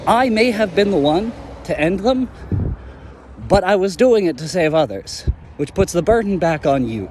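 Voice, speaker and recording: Earnest young former hero, voiced by a man, halting, fairly high, noisy outdoor recording with traffic. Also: lively intonation, a little mellow. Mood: reproachful. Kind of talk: audio drama acting.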